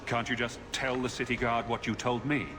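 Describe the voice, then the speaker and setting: An actor playing a wry, hard-nosed adventurer hero with a cool, dry delivery in a video game. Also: male voice, medium pitch, level tone, a little fast, fairly bright tone, reverberant, faint music behind, close to an everyday voice.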